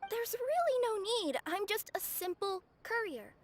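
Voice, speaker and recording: An actress voicing a sweet, modest, anxious young courier in a video game, speaking politely and hesitantly. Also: female voice, very high voice, big pitch swings, unhurried, a little hiss or hum, exaggerated voice. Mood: reluctant, modest.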